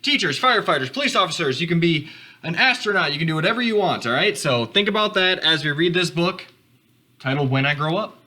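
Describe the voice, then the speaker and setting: A man reads a picture book aloud to children. His delivery is expressive, with big swings in pitch, and his tone is bright. He speaks to the listeners directly in casual asides. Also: a little fast. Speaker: read-aloud host for children.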